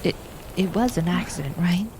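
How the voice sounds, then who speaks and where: A woman's voice: on the low side, normal speed, noisy background, close to an everyday voice. A woman playing a bold, affectionate, playful twin maid in an ASMR roleplay, casual and dramatic, often dropping into whispers. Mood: tender, caring.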